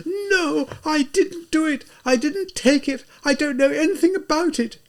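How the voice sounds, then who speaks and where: A man's voice: extremely high pitch, a little slow, voice pushed up. A man reading an audiobook, voicing a frightened young woman who denies the theft in a heartrending wail. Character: frightened young woman wailing her innocence.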